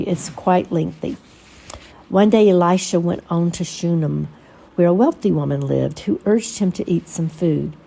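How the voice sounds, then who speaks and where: A woman teaching a Bible lesson in a reflective, measured way, pausing between short phrases. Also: fairly low pitch, gravelly, mellow. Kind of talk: Bible lesson with scripture reading.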